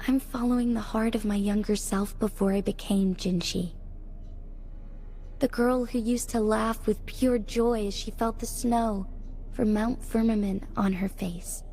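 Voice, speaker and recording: A young woman playing a resolute, devoted young leader in a video game, speaking in an earnest, measured, formal way. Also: medium pitch, steady tone, mellow, lightly acted. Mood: solemn resolve. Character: solemn young female leader, resolute, devoted to her guardian. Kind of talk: scripted video game voice acting. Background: music behind the voice.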